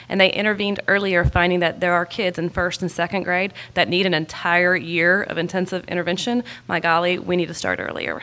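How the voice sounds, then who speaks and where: A woman's voice: fairly low pitch, fairly bright tone. A woman in her forties with an American accent giving an emphatic, explanatory, unscripted answer as a panelist, speaking into a table microphone. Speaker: panelist on an education policy panel. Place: large conference ballroom stage. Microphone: gooseneck table microphone. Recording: live conference PA with faint room noise.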